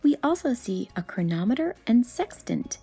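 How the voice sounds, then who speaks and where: A woman's voice: a little high, very animated, moderate pace, warm tone, slightly rough, faint music behind. A woman reading a picture book aloud, expressively explaining details as she goes. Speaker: story reader.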